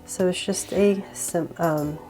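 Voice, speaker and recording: A woman with a cold guiding viewers step by step through a drawing, relaxed and laughing a little at her own slips. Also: lowish voice, slow pace, faint music behind, low-quality recording. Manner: relaxed, with small laughs and self-corrections. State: sick, voice a bit off. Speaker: drawing tutorial host.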